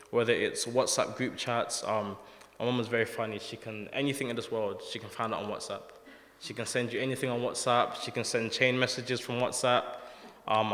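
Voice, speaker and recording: A young man gives a relaxed, soft-spoken sermon at a middle pitch, often pausing with ums. His voice goes through a microphone and rings in a large church hall with long reverb. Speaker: preacher. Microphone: handheld or stand microphone.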